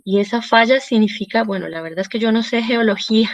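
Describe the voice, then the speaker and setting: A woman's voice: a little high, fast, no echo. A woman from El Salvador with a Salvadoran Spanish accent gives an informal, discursive lecture to students, often checking in with short tag questions. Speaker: university lecturer. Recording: slightly dull, lo-fi audio.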